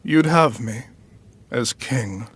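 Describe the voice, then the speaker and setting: An actor playing a middle-aged, ambitious royal brother and devoted lover in an audio drama, husky and formal, with measured, pompous phrasing. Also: male voice, medium pitch, expressive. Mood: feigned reluctance.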